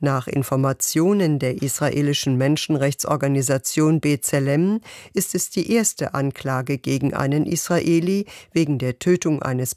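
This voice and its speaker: A woman reads the radio news in a steady, measured voice. The sound is dry and close, with no room around her. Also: sits low, no room sound. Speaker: newsreader. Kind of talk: scripted radio news reading.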